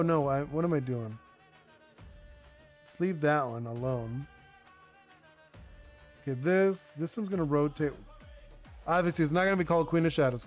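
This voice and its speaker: A young man whose first language is Spanish, chatting casually on a live stream while he designs a card game, rambling with lots of "like" and restarts. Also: on the high side. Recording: faint music behind the voice.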